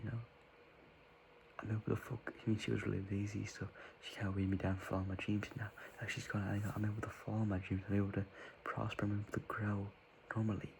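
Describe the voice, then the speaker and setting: A man's voice: on the low side, gravelly, slight background noise, rough recording. A young man giving earnest, rambling advice in a whispery voice, circling back and repeating himself.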